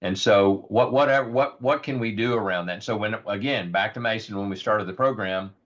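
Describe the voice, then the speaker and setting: A man with a raspy, slightly low voice talks through a rural health program with earnest, unpolished energy. He leans on ums and repeats words as he goes. The audio is muffled and lo-fi. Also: a little fast. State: raspy, hoarse voice. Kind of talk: informal virtual presentation. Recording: dull lo-fi audio.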